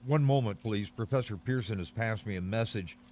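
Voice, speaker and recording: An actor playing an eager, courteous radio commentator in a 1930s style radio drama, speaking in a formal announcer's manner. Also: male voice, on the low side, fairly quick, a little mellow, slight background noise, lightly acted. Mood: urgent, formal. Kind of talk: 1930s radio drama, live news interview.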